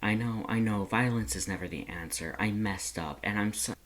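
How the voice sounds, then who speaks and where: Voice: low-pitched, moderate pace, lightly acted, poor audio. A reader voicing a flustered, protective male skeleton monster who rambles and stammers when nervous in a fan fiction story. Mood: flustered apology. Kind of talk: fan fiction read-aloud character voice.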